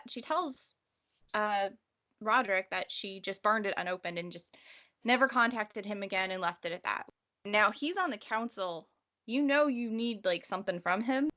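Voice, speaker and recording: A woman's voice: mid-range voice, moderate pace, bright, forceful tone. A woman co-hosting a casual book discussion, explaining her opinions in a slangy, conversational way. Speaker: podcast co-host.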